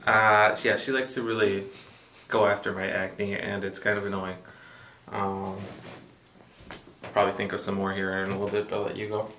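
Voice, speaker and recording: A man in his twenties from Mexico, co-hosting a casual vlog chat, relaxed and full of fillers. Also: fairly low pitch, moderate pace, long pauses, roomy sound, recorded from a distance, slight background noise. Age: 20s. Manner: relaxed, filler-heavy, joking. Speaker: vlogger co-host.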